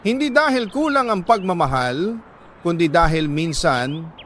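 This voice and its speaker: A man with a Filipino accent reading a dramatic love story as scripted narration, deliberate and sentimental, with dramatic pauses. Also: a little high, expressive, fairly bright tone, a little hiss or hum. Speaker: storyteller reading a listener's letter.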